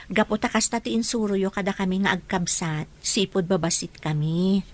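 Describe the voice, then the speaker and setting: A woman's voice: mid-range voice, moderate pace, natural voice. A woman acting a caring, dutiful young daughter in a radio drama.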